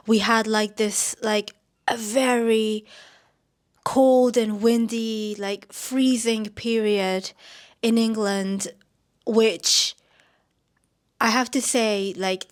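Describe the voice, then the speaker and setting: A woman from Myanmar hosting a casual podcast, sounding nasal as she gets over a cold, rambling with many "like" fillers. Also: medium pitch. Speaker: podcast host. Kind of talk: casual chatty podcast talk.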